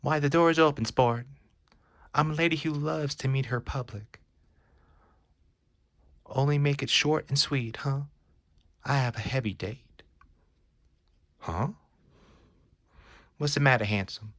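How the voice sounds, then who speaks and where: A man's voice: medium pitch, slow pace, pauses often, fairly bright tone, no echo, studio quality, pitched above the performer's own voice. A man voicing a brash woman fortune-teller at a carnival, sassy and curt with old-fashioned tough slang. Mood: sassy, dismissive.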